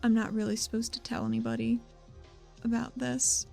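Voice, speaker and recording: A woman plays a character in a tabletop game with a fairly high, distinctly creaky voice. She improvises her lines in a halting, confiding way. There is soft music underneath. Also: little pitch movement. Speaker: player in a tabletop role-playing show. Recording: music bed behind the voice.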